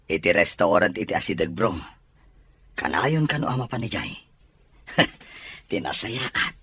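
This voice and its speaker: A man playing a shy, smitten young gentleman in an audio drama, with a husky, breathy voice and a hesitant manner. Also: fairly low pitch, lively intonation, unhurried, dry recording, heavily acted. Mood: bashful, smitten. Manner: hesitant, bashful. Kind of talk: Ilocano audio drama acting.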